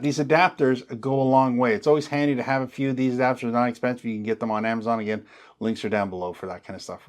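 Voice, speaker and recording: A man with a mid-range voice presents a hands-on tech tutorial. He talks casually and chattily, like a regular host showing gear piece by piece. His wording is plain and folksy, with little catchphrases. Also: mid-range voice. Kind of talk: casual hands-on how-to explanation.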